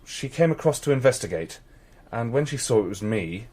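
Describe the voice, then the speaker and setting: A man's voice: medium pitch, animated, brisk, a little mellow, lightly acted. An actor playing an eager young police constable in a radio drama, earnest, formal and deferential as he reports. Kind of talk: naturalistic radio drama acting.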